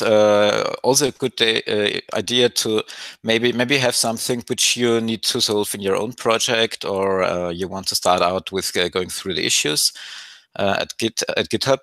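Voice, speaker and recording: A man's voice: fairly low pitch, a bit bright, no room sound. A man speaking from home over a video call, answering interview questions thoughtfully with many fillers. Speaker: open source maintainer. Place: home room with a piano.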